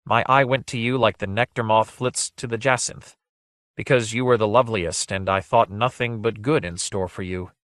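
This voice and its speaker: A man's voice: lowish voice, fairly even intonation, normal speed, a bit bright, dry recording, close to an everyday voice. A man acting a bold, defiant male adventurer in a fantasy story, speaking in a proud, formal, archaic and declamatory way. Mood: resolute, gallant.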